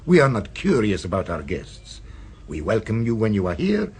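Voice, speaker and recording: A silky, menacing restaurant owner in an old radio play. The voice sits a little low and carries a husky, breathy edge. He purrs each line and keeps ending on a knowing "eh?". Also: wildly swooping pitch, normal speed, a little mellow, full character voice. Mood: insinuating. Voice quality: smooth, purring, sinuous delivery. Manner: silky and insinuating, tags questions with "eh". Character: suave, sinister male restaurant owner, middle-aged. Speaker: restaurant proprietor. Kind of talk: radio drama acting.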